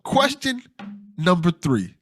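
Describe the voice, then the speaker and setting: A man's voice: high voice, huge pitch swings, takes it slowly, a bit bright, faint music behind. A man hosting a casual podcast interview, with a playful dramatic delivery when announcing questions and an easy laugh. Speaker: podcast host.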